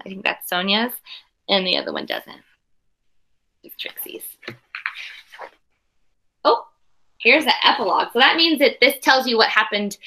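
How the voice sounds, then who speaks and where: A woman doing an expressive, dramatic children's read-aloud, pausing with asides to explain things simply like a teacher. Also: medium pitch. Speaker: teacher reading a picture book to young pupils.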